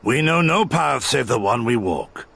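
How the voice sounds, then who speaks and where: Gravelly, seasoned warrior mentor. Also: male voice, mid-range voice, expressive, a bit bright, no echo, exaggerated voice. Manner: grave, formal, deliberate. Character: seasoned male warrior mentor, stoic, penitent, quietly fond. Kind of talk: scripted video game drama acting.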